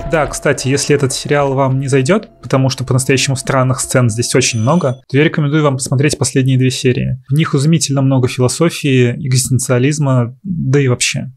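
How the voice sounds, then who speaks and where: A man's voice: mid-range voice, steady tone, fairly quick, few pauses, darkish tone. A man giving a casual scripted voice-over review as the host.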